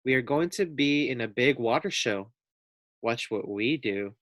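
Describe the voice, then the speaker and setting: A man's voice: mid-range voice, expressive, unhurried, a bit bright, no echo, clean studio sound. A man voicing a curious, confident young girl in a children's storybook, inquisitive and self-assured. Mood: proud. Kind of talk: storybook read-aloud for children.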